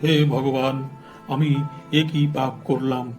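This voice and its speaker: An actor playing a generous, pious king in a cartoon, speaking courteously in stately, deliberate phrases. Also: mid-range voice, level tone, a little mellow, faint music behind, natural voice. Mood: dismayed. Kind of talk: cartoon dialogue.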